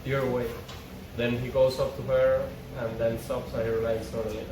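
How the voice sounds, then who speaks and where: A man's voice: medium pitch, level tone, a little fast, darkish tone, slight background noise. Man reading aloud in an echoey, distant recording. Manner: informal narration, stumbling over written words. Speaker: reader of stage directions and dialogue at a script table read. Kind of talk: casual script read-through with side comments. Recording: very echoey, distant mic, background music. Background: music.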